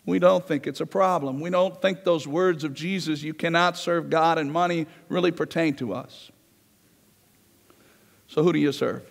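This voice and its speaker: A man in his forties preaching a sermon in a conversational way, his voice going hoarse as he paces the stage of a large church. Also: a little high, fairly even intonation, moderate pace. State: losing his voice. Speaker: pastor. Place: large church sanctuary, indoors. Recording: long reverb of a church hall.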